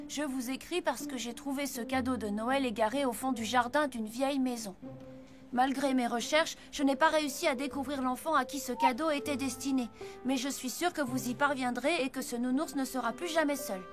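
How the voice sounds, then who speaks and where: A high, youthful boy's voice acted by a woman, earnest and full of wonder. This tender-hearted cartoon apprentice speaks over a musical backdrop. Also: moderate pace, lightly acted. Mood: tender pleading. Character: earnest, tender-hearted orphan boy, Santa's young apprentice. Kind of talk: animated cartoon dubbing. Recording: music behind the voice.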